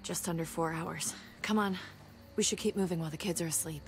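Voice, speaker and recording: A woman's voice: fairly quick, a little hiss or hum, natural voice. An actress playing a tough, rebellious, sardonic woman surviving a zombie apocalypse, dry and candid in a video game drama. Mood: quietly worried. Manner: dry, candid, low-key.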